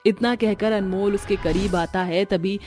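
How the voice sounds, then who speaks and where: A woman's voice: mid-range voice, fairly even intonation, measured pace, warm tone, soft background music. A woman reading scripted narration as the storyteller of a family tale.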